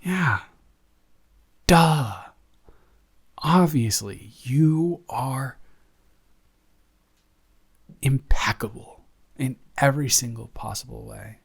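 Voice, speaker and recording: A man plays a devoted young roommate in an intimate boyfriend audio drama. He talks in a medium-pitched male voice, halting and rambling as he goes. His manner is affectionate and teasing, easily flustered and very conversational. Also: creaky, breathy edge, exaggerated voice. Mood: earnest, affectionate. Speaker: audio roleplay performer.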